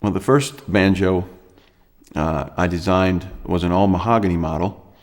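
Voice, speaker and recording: A man in his thirties from Pennsylvania, a banjo maker talking in an interview with halting pauses and fillers. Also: deep voice, in a large hall. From Lancaster, Pennsylvania. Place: studio with dark backdrop.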